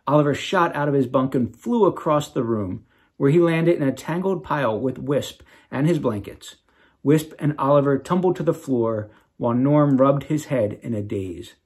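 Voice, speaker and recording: Animated man reading a children's story, slightly husky. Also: mid-range voice. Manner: expressive, dramatic emphasis. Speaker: children's author reading aloud. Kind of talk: animated storybook read-aloud with casual asides.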